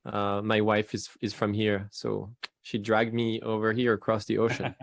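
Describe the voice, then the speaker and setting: Man, forties, French accent, podcast guest, halting restarts, dry close sound. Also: lowish voice, monotone, gravelly, dry recording. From France. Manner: halting, many restarts, laughs between thoughts. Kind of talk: casual interview chat.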